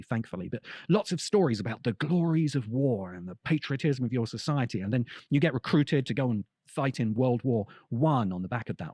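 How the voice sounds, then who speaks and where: A man delivering an essayistic podcast monologue, measured, articulate and emphatic, as a host and critic. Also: mid-range voice, a little mellow, dry recording.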